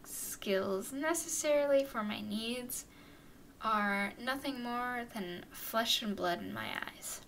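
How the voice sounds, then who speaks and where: Woman voicing a slow-talking, haughty vampire girl. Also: on the high side, vocal fry, a bit bright, slight background noise, full character voice. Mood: haughty, annoyed. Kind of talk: ASMR roleplay, acted monologue to listener.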